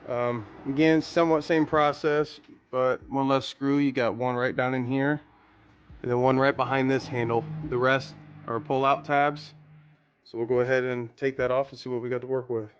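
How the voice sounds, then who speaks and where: A man's voice: mid-range voice, level tone, moderate pace, dull audio, a little hiss or hum. A man giving a casual hands-on tutorial, rambling a little as he thinks aloud while working. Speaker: DIY car audio vlogger.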